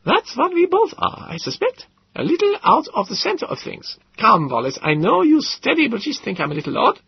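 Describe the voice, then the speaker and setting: A man voicing a thin, fragile, eccentric male professor in his thirties with a light German accent, excitable and rambling. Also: very high voice, moderate pace, vocal fry, slightly rough, dry recording, pitched above the performer's own voice, heavily acted. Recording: dull lo-fi recording.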